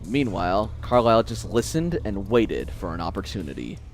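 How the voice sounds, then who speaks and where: A man's voice: fairly low pitch, big pitch swings, moderate pace, faint music behind. A man narrating scripted fiction as a dramatic storyteller.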